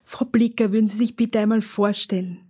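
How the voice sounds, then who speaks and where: A woman hosting a radio show, reading her introduction in measured, clear phrases. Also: medium pitch, steady tone, over loud music. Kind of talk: scripted radio presenting.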